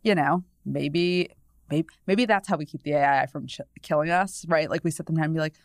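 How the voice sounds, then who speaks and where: New england woman, 30s, podcast guest, low voice with vocal fry, rambly and chatty, dry close mic. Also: fairly quick, no room sound. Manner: chatty, discursive, many "you know" asides, laughs easily. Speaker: guest, newspaper columnist. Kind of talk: casual podcast conversation.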